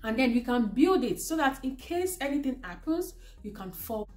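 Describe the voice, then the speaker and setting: A woman from Nigeria giving an earnest, emphatic personal business testimonial and pitch. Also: very animated, a little mellow, faint music behind. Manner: earnest, emphatic, slows for stress. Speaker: business presenter.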